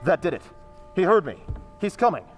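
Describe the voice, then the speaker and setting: A man playing a bold, fearless investigator in an old-time radio drama, speaking deliberately and challengingly. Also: high-pitched, sing-song, faint music behind. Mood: alert. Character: Lamont, fearless calm male investigator confronting a ghost. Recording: reverberant hall sound.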